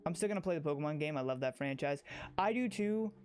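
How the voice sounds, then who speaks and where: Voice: medium pitch, lively intonation, quick pace, a little mellow, faint music behind. A livestreamer chatting casually and emphatically, rambling, often repeating phrases and restarting sentences.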